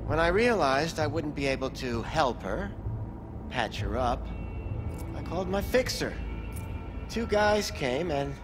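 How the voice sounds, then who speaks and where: A cornered, weaselly back-alley doctor from a game. He whines and stammers defensively in a highish voice that jumps around in pitch, with clear music behind it. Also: big pitch swings, a little slow, long pauses. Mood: defensive, rattled. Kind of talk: video game dialogue acting.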